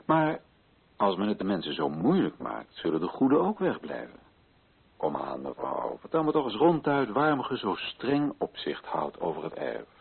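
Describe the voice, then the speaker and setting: An actor playing an insistent, authoritative colonial official in a radio play, questioning in a formal, commanding way. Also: male voice, fairly low pitch, huge pitch swings, normal speed, vocal fry, slightly rough, full character voice. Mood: probing, courteous. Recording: thin, phone-like old radio recording.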